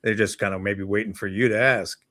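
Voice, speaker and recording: A man with a fairly low voice talks casually as a morning show co-host. He is agreeable, and his sentences come out loose and halting. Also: steady tone, bright, forceful tone.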